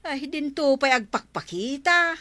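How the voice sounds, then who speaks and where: An actress with an Ilocano accent playing a grateful, good-natured market vendor in a radio comedy drama. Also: female voice, high voice, animated, a little slow, sharp tone, full character voice. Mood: curious.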